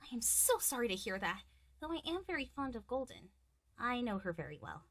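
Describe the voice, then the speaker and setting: A woman voicing a gracious, friendly pony princess in an animated fan drama, polite and welcoming. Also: a little high, a little fast, no room sound, clean studio sound. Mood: polite, concerned.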